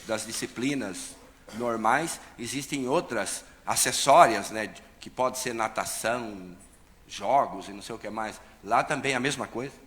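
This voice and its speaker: A man's voice: medium pitch, a bit bright, long reverb tail. A man with a Brazilian Portuguese accent speaks colloquially, in an informal questioning style. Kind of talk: informal questioning at a public session. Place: council chamber. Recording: background noise and room ambience.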